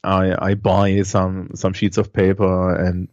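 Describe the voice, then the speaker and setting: German guy from thuringia, english not his first language, lots of uh and restarts, lowish voice, muffled mic. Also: completely flat delivery, slow pace, darkish tone, no room sound. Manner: hesitant, many restarts and fillers. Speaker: teacher and podcaster, guest. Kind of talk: casual conversation.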